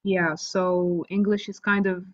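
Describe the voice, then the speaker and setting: A young woman whose first language is Arabic, a recent medical graduate answering interview questions politely and at a measured pace. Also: fairly low pitch, little pitch movement, dull audio.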